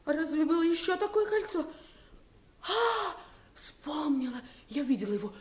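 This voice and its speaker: Юную принцессу, очень высокий девичий голос. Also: female voice, sits high, very animated, normal speed, reverberant. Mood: frightened, pleading. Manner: exclamatory, childlike. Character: young fairy-tale princess, girlish and headstrong. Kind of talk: theatrical radio fairy-tale acting.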